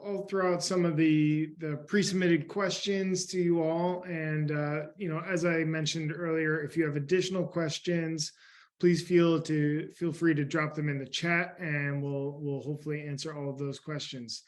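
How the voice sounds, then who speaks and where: A man's voice: a little high, fairly even intonation, unhurried. A man moderating a panel on a video call, easygoing with fillers.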